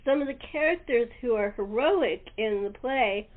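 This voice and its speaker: A woman's voice: a little high, expressive, moderate pace. A woman host chatting casually with guests in an informal, agreeable way. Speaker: host interviewing radio-play cast. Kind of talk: casual chat. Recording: thin narrow-band call audio.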